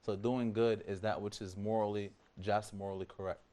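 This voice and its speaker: Even-toned man on a panel. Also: on the low side, normal speed. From Philadelphia, USA. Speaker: panel guest, Arabic student. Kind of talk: studio panel discussion.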